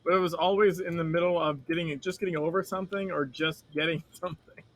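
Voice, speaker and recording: A man co-hosting a casual chat, telling stories in a rambling, animated way and swearing freely. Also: a little high, fast, poor audio. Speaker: podcast co-host.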